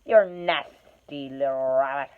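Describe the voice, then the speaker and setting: A woman voicing a big, dim, quarrelsome male troll who talks loud, coarse and blustering. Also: very deep voice, slow, clear, resonant tone. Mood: gruff bluster. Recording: tinny phone-like sound.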